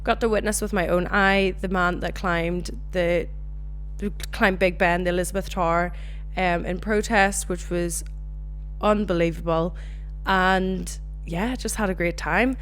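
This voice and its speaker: Chatty Northern Irish woman, lowish voice. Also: a little slow, faint music behind. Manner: chatty, animated storytelling with swearing. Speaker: podcast host. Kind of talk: casual solo chat.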